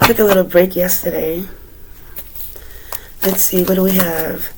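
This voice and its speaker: A woman with a raspy, recovering voice giving a relaxed, chatty tarot reading at home, with many fillers. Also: on the low side, measured pace, noisy background. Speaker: tarot reader. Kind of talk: casual tarot reading to camera.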